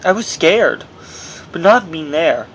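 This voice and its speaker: Higher-pitched man reading memoir, noisy recording. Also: big pitch swings, slow, a bit bright, lo-fi sound. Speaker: author reading own memoir.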